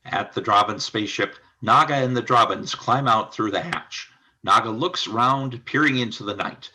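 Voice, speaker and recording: A man's voice: lowish voice, normal speed, pushed and bright, slightly rough. A man narrating a scripted radio drama, reading out the scene descriptions.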